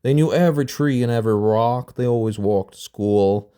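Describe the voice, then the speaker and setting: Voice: medium pitch, normal speed, dark tone, clear, resonant tone. A storybook narrator reading aloud informally, now and then stumbling over a word and rereading it. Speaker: storybook reader.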